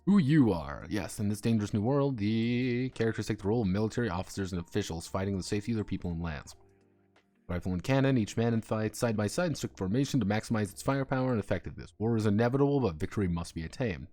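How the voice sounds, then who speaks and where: A male streamer with a fairly low voice talks casually as he works on his game. He drifts between reading text aloud and rambling, chuckling asides, with soft music playing behind him. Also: expressive, fast. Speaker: live streamer and tabletop game designer.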